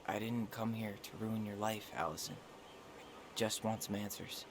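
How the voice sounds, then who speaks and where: A young American man played by an actor with a lowish voice, in a story-driven video game. He is guarded, brooding and dryly witty. Noticeable ambient noise runs behind him. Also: little pitch movement, moderate pace, lightly acted. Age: young adult. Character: young man, guarded, dry-witted, brooding twin brother. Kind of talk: naturalistic video game dialogue. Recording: noisy ambient background.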